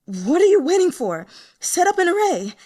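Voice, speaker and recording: Scheming woman henchman of a demon lord, played by a female reader: pitched up, loud, formal, storybook fantasy. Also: sing-song, normal speed. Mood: urgent, commanding. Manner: loud, clear, formal address to a superior. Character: scheming, deferential female demonic sect protector. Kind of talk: audiobook character voice.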